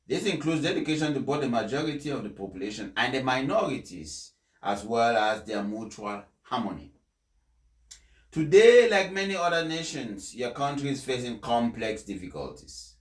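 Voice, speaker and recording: A man who speaks as a presenter reading aloud to followers, in a deliberate, emphatic and formal way. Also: medium pitch, big pitch swings. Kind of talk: formal read-aloud address with informal introduction. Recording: echoey, reverberant room.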